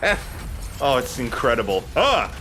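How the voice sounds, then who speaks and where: A man's voice: medium pitch, sing-song, normal speed, pushed and bright, a little hiss or hum, low-quality recording. A man hosting a let's play, giving casual commentary while he plays. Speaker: let's play host and player.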